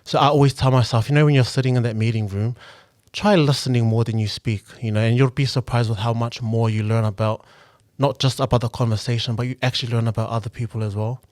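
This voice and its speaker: A young man from New Zealand speaks in a mid-pitched voice, thinking aloud in an earnest, reflective way with plenty of "um"s and restarts. The sound is very dry and close, with no room echo at all. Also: fairly even intonation, fast, a little mellow. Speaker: guest, local politician and engineer. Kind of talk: casual podcast conversation.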